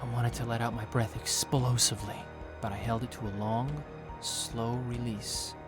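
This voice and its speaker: An actress playing a guarded young worker and storyteller in a sci-fi audio drama. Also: female voice, rumbling low, flat delivery, moderate pace. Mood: suspenseful narration. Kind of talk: scripted first-person narration and audio drama acting. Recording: prominent music behind voice.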